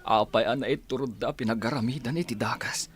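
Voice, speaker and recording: An actor with an Ilocano accent playing a grieving, bitter attorney mourning a parent in a radio drama. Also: male voice, mid-range voice, fairly quick, husky, faint music behind, lightly acted. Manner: sorrowful, bitter. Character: grieving male attorney, son of slain judge.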